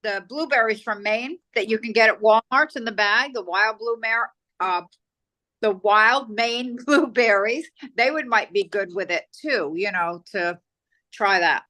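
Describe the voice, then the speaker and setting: A woman's voice: fairly high pitch, a little slow, pushed and bright, rough recording. A woman who is hard of hearing, a guest chatting casually and supportively on a cooking show. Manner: supportive, with brief backchannel replies. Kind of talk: casual chat.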